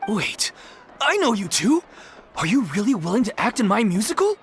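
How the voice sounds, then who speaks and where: A man's voice: high-pitched, big pitch swings, moderate pace, fairly bright tone, soft background music, heavily acted. An actor playing an earnest, anxious young man who runs an amateur theater troupe in a video game, polite and hesitant. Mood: surprised, hopeful.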